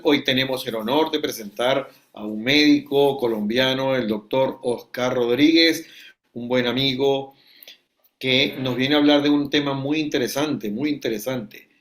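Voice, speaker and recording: A man hosting an interview in a conversational, informal way, speaking directly to guest and audience. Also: mid-range voice. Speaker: host.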